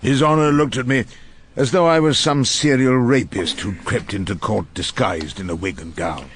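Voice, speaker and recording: An actor playing an irascible, wry elderly English criminal barrister, narrating a radio drama in husky, literary, ornate phrases. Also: male voice, mid-range voice, normal speed, lightly acted. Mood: wry, world-weary.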